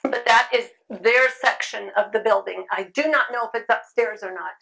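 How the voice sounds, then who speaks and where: A middle-aged woman speaking at a convention, chatty and loud-voiced, laughing often as she answers questions. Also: fairly high pitch, normal speed, creaky, poor audio. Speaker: convention speaker on sidewalk counseling. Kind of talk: informal talk with audience questions. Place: convention room.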